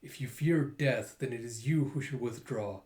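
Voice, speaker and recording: A man voicing a grim swordsman assassin who gives stern, formal, measured warnings. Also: medium pitch, a little mellow, lightly acted. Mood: cold warning. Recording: roomy echo.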